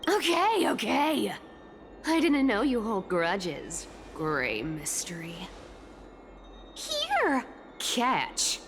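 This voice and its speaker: A woman's voice: fairly high pitch, wildly swooping pitch, extremely slow, a little hiss or hum, full character voice. An actress playing a sly, carefree young woman thief in a video game, with a teasing, sing-song, breezy delivery. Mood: playful, smug. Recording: background music.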